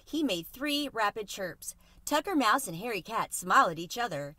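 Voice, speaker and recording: Lively, bright-voiced woman reading a storybook. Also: mid-range voice, normal speed, no room sound. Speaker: read-aloud storyteller. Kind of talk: expressive children's book narration.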